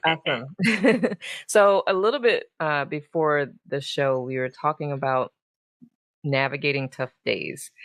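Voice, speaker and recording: A woman hosting a casual interview show, effusive in her manner and quick to laugh. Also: low voice, a bit bright, dry recording. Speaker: show host. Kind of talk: warm casual interview chat.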